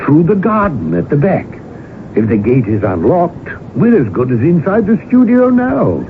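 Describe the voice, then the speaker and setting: An actor playing a boastful, blustering colonel and husband, pompous and excitable, in old-time radio drama style. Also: male voice, on the high side, normal speed. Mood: smug. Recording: noisy, narrow-band old radio broadcast recording.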